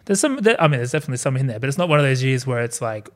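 A man's voice: mid-range voice, big pitch swings, rapid-fire. A man in his thirties co-hosting a movie podcast in casual chat, joking and laughing often. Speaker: podcast co-host.

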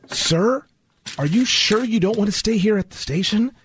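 An actor playing a fussy, courteous train station attendant in old-time radio drama, formal and persistently concerned. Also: male voice, high-pitched, animated, moderate pace, fairly bright tone, slight background noise. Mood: pleading. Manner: formal, polite service address.

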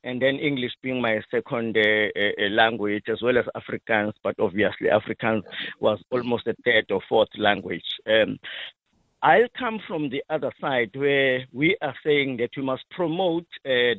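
A man with a South African accent, a native isiZulu speaker, calling in to a radio show and speaking haltingly with many pauses. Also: mid-range voice, no echo. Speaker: caller. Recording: tinny phone line.

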